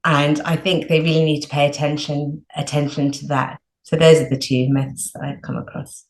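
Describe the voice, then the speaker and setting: A woman with a low voice explains training tips in a loose, chatty way, leaning on filler words as she talks. Her voice carries a roomy echo around it. She sounds like a coach talking easily to a host. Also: level tone, normal speed. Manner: chatty, frequent "like" and "you know" fillers. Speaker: women's health coach, guest. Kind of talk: casual explanatory advice.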